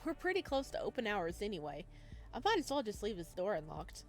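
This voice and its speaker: Woman voicing a bright, friendly young male shop clerk. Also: medium pitch, big pitch swings, a little fast, faint music behind.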